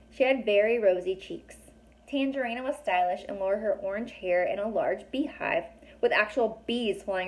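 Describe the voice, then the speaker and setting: A woman's voice: medium pitch, rough recording. A woman narrates a children's storybook in a mid-range voice. She reads carefully, as one reading aloud at length, occasionally correcting a word. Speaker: storybook reader. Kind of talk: children's novel read aloud.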